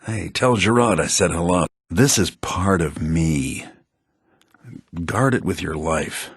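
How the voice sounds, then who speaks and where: An actor playing an excitable, fussy male townsman and schemer in a fantasy video game, with a theatrical manner. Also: slow, husky, no room sound, clean studio sound, exaggerated voice. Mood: sheepish. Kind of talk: video game character dialogue. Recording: low-bitrate game audio.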